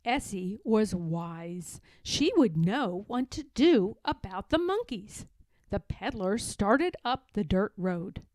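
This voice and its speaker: A woman narrating a children's storybook aloud in an expressive, deliberate way. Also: mid-range voice, no room sound.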